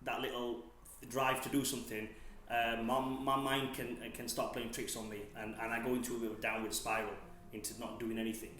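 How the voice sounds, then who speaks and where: A man in his thirties, a gym owner chatting relaxed as an interview guest, often restarting and repeating words. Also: medium pitch, fairly quick, slight background noise. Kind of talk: casual interview chat. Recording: very echoey room, faint music.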